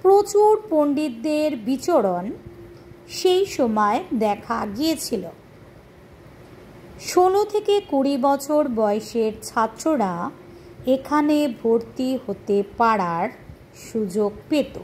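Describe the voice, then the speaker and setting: A woman dictates a question-and-answer lesson to schoolchildren in a deliberate, measured teaching manner. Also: high-pitched, big pitch swings, a little mellow, a little hiss or hum, low-quality recording. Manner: deliberate, spelling out punctuation as dictation. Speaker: history tutor for schoolchildren.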